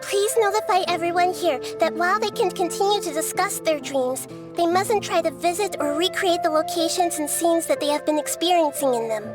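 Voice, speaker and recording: A woman voicing a small, wise childlike goddess in a video game, measured and earnest in her delivery. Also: very high-pitched, over music. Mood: earnest, composed. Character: small childlike female deity, wise, composed, gentle. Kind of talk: video game character dialogue.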